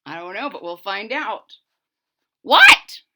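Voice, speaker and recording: A woman's voice: fairly high pitch, takes it slowly, background noise. A woman reading a children's story aloud expressively and dramatically, with asides to her listeners. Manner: animated, dramatic, questioning listeners. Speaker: storybook reader.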